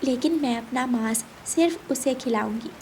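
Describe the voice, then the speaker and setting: A woman's voice: high voice, normal speed, heavy background noise. A woman voicing a brave, clever mother sparrow who speaks earnestly in a cartoon story. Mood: cleverly defiant.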